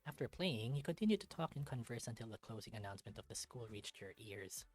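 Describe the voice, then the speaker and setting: A husky, medium-pitched voice hosts a gaming let's-play. The delivery moves between storybook-like narration and casual commentary, with music playing faintly behind it. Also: lively intonation, quick pace, darkish tone. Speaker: let's-play host reading a visual novel aloud.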